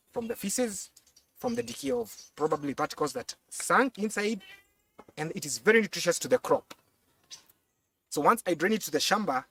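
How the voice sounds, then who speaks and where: High-pitched young Kenyan man, distant mic. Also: creaky, fairly bright tone, slightly rough. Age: young adult. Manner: halting, repeats words. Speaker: fish pond and crop farmer. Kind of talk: explaining a demonstration on camera. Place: outdoors on a farm beside ponds. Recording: distant mic, outdoor background noise.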